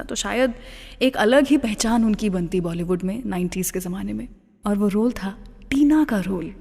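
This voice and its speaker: A woman with an Indian accent hosts a radio show, her mid-pitched voice trailing a long reverb. She chats directly to listeners, sharing trivia in a presenter's style and mixing in phrases from a second language. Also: darkish tone. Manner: chatty, addresses listeners directly; code-switches between Hindi and English.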